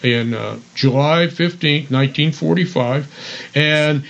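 A man's voice: fairly high pitch, a little slow, gravelly, fairly bright tone, highs cut off. An older man co-hosting a radio show, chatting casually with enthusiasm. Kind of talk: casual chat about old-time radio.

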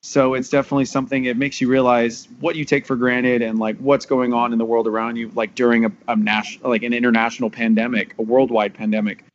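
A man's voice: medium pitch, flat delivery, fast talker, few pauses. An American man speaks as a voice actor in a casual panel discussion. His delivery is candid and rambling, with little melodic movement. The audio is a bit dull and lo-fi. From Texas, USA.

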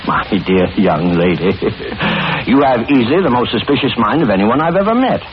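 An actor as a swooping, sinister old radio villain. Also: male voice, medium pitch, very animated, normal speed, lo-fi sound, a crowd in the background, close to an everyday voice. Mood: falsely soothing. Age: older. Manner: mock-courteous, unctuous, chuckling, repeats himself. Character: sinister, smugly genial older male killer luring young women. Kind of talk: 1940s radio horror acting. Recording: noisy, band-limited old radio broadcast recording.

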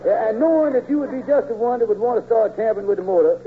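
An actor playing a scheming, smooth-talking hustler with folksy, ungrammatical patter in a 1940s radio comedy. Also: male voice, extremely high pitch, expressive, very fast. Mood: slick, evasive. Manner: folksy ungrammatical sales patter, blustering excuses. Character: scheming, smooth-talking male lodge hustler pitching a bogus deal. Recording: noisy, narrow-band old radio recording.

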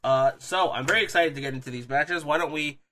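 A man's voice: a little high, quick pace, a little hiss or hum. Sniffly man with a cold hosting. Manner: energetic, with frequent fillers. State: has a cold, sniffly with a scratchy throat. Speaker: podcast host. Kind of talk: casual wrestling podcast chat.

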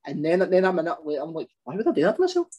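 A man's voice: on the high side, wildly swooping pitch, racing, darkish tone, lo-fi sound. A man from the Glasgow area of Scotland with a thick Glaswegian accent, a podcast guest chatting bluntly and colloquially. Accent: Glaswegian Scottish. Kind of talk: casual chat.

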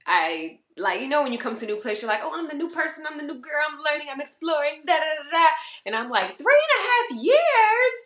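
A bubbly woman from Georgia chats as a podcast guest, animated and playful, slipping into little voices as she tells stories. Her voice is bright and crisp, and the sound bounces around an echoey room. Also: high voice, fairly quick, few pauses. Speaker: guest, model and musician. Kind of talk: casual interview chat.

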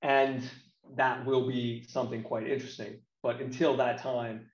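A man's voice: mid-range voice, little pitch movement, moderate pace. Blunt American man in a roomy echo. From United States. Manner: blunt, colloquial. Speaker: interview guest, games marketing professional. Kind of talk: casual opinionated chat. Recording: roomy echo, slightly dull sound.

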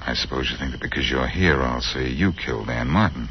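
An actor playing a cocky, quick-witted amateur sleuth who needles people, in 1940s radio style on a muffled old recording. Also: male voice, a bass voice, a little hiss or hum. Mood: knowing. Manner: smooth-talking, sardonic, teasing.

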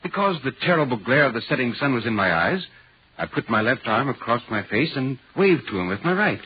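An actor playing a polite, inquisitive newspaper reporter recounting an eerie tale in dramatic 1940s radio style. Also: male voice, medium pitch, sing-song, a little fast, breathy edge. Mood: eerie narration. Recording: dull, hissy 1940s radio recording.

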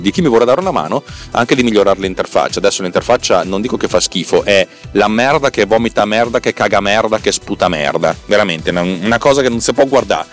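A man with a fairly low voice talks through technical matters as a podcast host. His delivery is colloquial and rambling, doubling back on words for emphasis in a self-deprecating way. Faint music sits behind his voice. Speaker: podcast host and software developer. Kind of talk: casual solo podcast monologue, technical explanation.

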